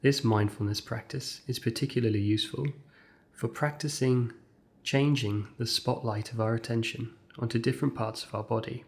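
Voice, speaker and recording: A man's voice: medium pitch, steady tone. Man guiding a mindfulness meditation. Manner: measured, gentle pauses between short phrases. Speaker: mindfulness exercise guide. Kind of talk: guided meditation, scripted instruction.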